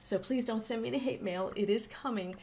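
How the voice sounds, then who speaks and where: A woman's voice: on the high side, brisk, darkish tone. A woman giving a casual, chatty explainer on a game update, with informal asides and folksy exclamations. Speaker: gaming channel host. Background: background music.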